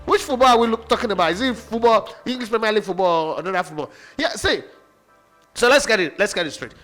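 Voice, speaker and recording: A man's voice: high-pitched, expressive, quick pace, fairly bright tone. A man arguing football on a sports radio show, boastful and mocking, with faint music behind. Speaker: football pundit. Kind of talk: heated sports radio debate.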